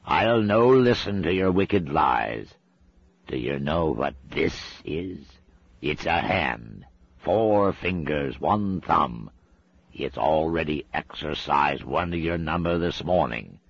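A cantankerous old witchfinder sergeant, as a man puts him on, growling in a very deep, gravelly voice. He scolds fiercely and drags out his words slowly. The sound is dull and lo-fi. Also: expressive, dry recording, exaggerated voice.

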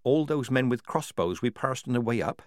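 A man's voice: medium pitch, lively intonation, normal speed, no echo, lightly acted. Guy reading as a stubborn young soldier girl, dry and understated, storybook, close dry sound. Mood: weary, dry. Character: Polly, young woman soldier, plain-spoken, stubborn, weary.

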